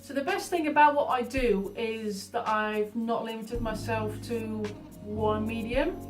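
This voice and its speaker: A Dutch-accented woman in her early thirties speaks in a fairly high voice, set back from the microphone in a roomy space. She talks informally about herself, pausing often to find the next thought. Music plays clearly behind her. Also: steady tone. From Netherlands. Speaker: artist being profiled. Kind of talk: informal talking about own life and art. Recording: roomy echo, distant mic, music behind.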